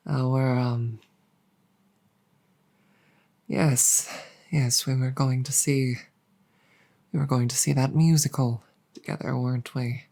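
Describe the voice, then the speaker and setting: A woman voicing an embarrassed, affectionate dragon girlfriend in a roleplay audio drama, speaking formally and apologetically with many halting stammers. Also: a bass voice, mellow, heavily acted. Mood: nervous, apologetic.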